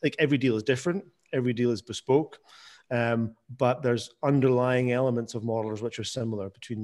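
Guy presenting over a video call, keeps restarting words, casual, mid pitch. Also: darkish tone. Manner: many restarts and repeated words, informal. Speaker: guest presenter, software founder. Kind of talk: webinar presentation.

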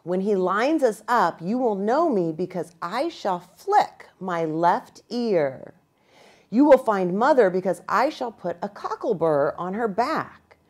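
A woman's voice: medium pitch, very animated, takes it slowly. A woman voicing a young buffalo calf boy in a storybook, earnest and urgent as he instructs. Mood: earnest warning.

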